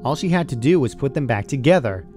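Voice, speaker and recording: A man narrates a piece of horror fan fiction from a script. His voice sits at an average male pitch. Music runs clearly behind the reading. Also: expressive, quick pace.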